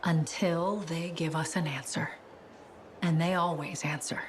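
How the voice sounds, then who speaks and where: A cool female intelligence agent from a video game. She speaks in a slightly low voice, measured and guarded, probing with dry wit over faint ambient noise. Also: steady tone. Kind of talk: video game character acting.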